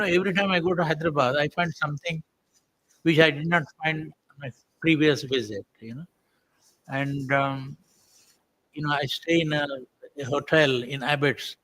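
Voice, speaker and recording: Male panelist, Indian accent, slightly high pitch. Informal, anecdotal talk at a live discussion. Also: fairly bright tone, rough recording. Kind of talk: conversational reminiscence.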